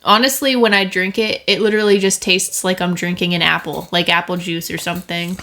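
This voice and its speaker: Slow, hesitant woman co-hosting a podcast. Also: on the low side, fairly even intonation, pushed and bright. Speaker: podcast co-host. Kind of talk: casual chat.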